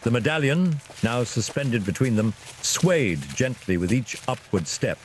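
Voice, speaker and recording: Animated male fantasy narrator. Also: mid-range voice, unhurried, slight background noise, rough recording. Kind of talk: dramatic scripted fantasy story narration.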